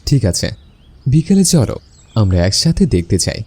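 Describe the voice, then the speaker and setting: Man as a mellow, swooping husband bird. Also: medium pitch, sing-song, moderate pace, husky. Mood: thoughtful. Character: friendly, sensible male bird, helpful husband. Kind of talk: scripted cartoon dialogue.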